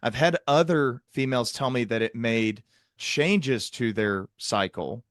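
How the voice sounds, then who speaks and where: A man's voice: mid-range voice, a little slow, no echo. Earnest man in dry, close sound. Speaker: podcast host, psychiatrist. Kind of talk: conversational clinical discussion.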